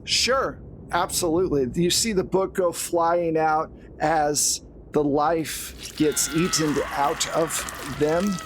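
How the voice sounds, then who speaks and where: Slow-paced male horror game master. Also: a little high, slight background noise. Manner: casual, conversational storytelling. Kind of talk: narrating a horror tabletop game.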